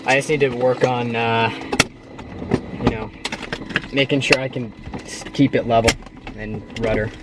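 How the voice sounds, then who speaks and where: A man's voice: medium pitch, steady tone, measured pace, long pauses. A man learning to fly, chatting casually and making radio calls inside a small airplane. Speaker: student pilot. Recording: very noisy small-plane cockpit with clicks.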